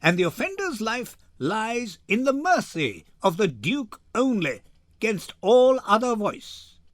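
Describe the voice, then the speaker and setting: A man voicing a stern young woman disguised as a learned judge in a dramatic Shakespeare reading, measured and declamatory. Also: sits high, very animated, no room sound, clean studio sound, full character voice. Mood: solemn, formal.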